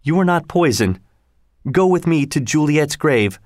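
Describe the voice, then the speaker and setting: A man's voice: a little high, animated, a little slow, a little mellow, no echo, studio quality. A man reading aloud, voicing an impulsive young lover who talks with urgency. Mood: grim. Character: young male lover, passionate and rash.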